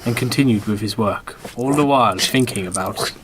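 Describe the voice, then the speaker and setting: A man narrating a comic radio play as a podcast host, with dramatic, deliberate storyteller phrasing. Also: on the low side. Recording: amateur podcast, clearly noisy background.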